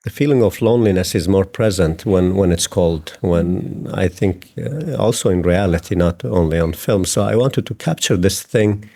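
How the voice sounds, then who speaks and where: Low, dark-voiced hesitant man. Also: normal speed, creaky, few pauses. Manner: hesitant, many fillers and restarts. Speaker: film director. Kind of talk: interview answers.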